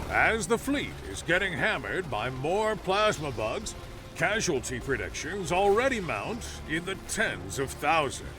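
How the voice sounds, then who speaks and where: A militarist future's propaganda newsman for a game. He is formal, dramatic and very animated, in a fairly high voice, with a distant broadcast sound and music behind him. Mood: grave bulletin. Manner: grandiose, formal, dramatic announcing. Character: bombastic, patriotic male propaganda newscaster of a militarist future. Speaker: propaganda newsreel announcer. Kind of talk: satirical wartime propaganda news broadcast narration.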